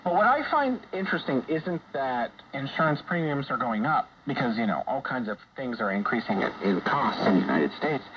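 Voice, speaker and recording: A man hosting a conversational radio discussion, explaining things in a measured, hesitant way. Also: medium pitch, very animated. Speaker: radio show host. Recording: dull, noisy shortwave radio broadcast.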